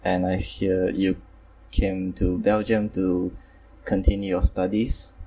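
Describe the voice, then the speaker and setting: A man's voice: deep voice, flat delivery, slow, warm tone, recorded from a distance, low-quality recording. A man hosting a casual online group chat, talking hesitantly with many fillers and apologies. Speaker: host.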